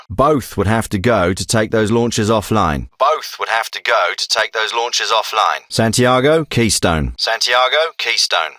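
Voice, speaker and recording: A man's voice: mid-range voice, sharp tone, no room sound, clean studio sound. An actor playing a commanding male special forces team leader in a video game, with firm, clipped, businesslike military delivery. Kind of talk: scripted military radio dialogue.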